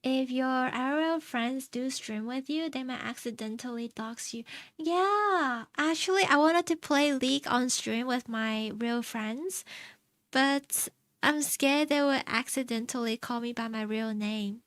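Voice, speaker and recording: A young woman from China chatting casually on stream, answering her chat in a soft, rambling way and laughing often. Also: high-pitched, measured pace, dry recording. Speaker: VTuber streamer. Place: home streaming setup.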